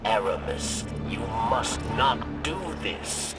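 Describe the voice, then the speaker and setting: A man acting an anxious, earnest alien scribe in a video game, pleading and halting in his speech. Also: medium pitch, over loud music, close to an everyday voice. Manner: halting, urgent, speaks of himself in third person. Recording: distant voice in loud noisy ambience.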